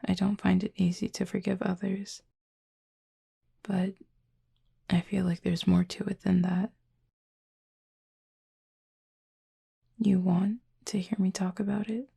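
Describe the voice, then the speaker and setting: Casting: actress for a reflective, guarded female cupid in intimate roleplay audio. Mellow, very breathy and husky, gently formal, close and dry. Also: mid-range voice, level tone, dry recording, exaggerated voice. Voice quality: soft, breathy, husky with creak. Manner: thoughtful, measured, gently formal.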